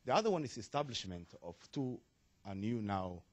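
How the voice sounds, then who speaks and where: Somali-born man at a panel mic, lively up-and-down delivery, dull lo-fi sound. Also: on the low side. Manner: halting, with frequent restarts and rising emphasis. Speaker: audience member asking a question. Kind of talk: spontaneous panel floor comment. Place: conference hall.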